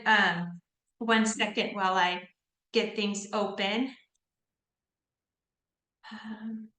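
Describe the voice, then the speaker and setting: Woman tutor on a video call, bright and fairly high voice, roomy echo, chatty and informal. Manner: chatty, informal, frequent ums and "right?" checks. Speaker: test-prep tutor. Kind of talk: online group tutoring session. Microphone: computer mic on a video call.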